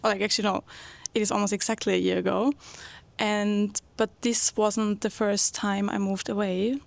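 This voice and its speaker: A woman's voice: mid-range voice, moderate pace, vocal fry, dry recording. A young woman from Austria, chatting casually as a guest and telling stories with a laugh. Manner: lively storyteller, laughs often. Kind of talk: casual chat.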